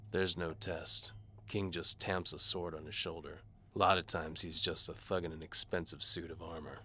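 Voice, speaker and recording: A man's voice: deep voice, little pitch movement, moderate pace, pushed and bright, breathy edge, exaggerated voice. A man playing a cynical, hard-bitten mercenary bowman who narrates his own case in a wry, terse noir style. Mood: defiant. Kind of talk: scripted first-person noir fantasy narration.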